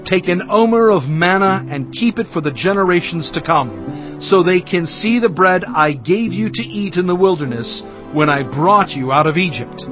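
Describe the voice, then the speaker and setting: A man's voice: sits high, animated, moderate pace, muffled recording, music in the background. A man playing an earnest, declamatory prophet and leader in a dramatized scripture reading. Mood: instructing. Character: Moses, earnest, forceful male prophet and leader.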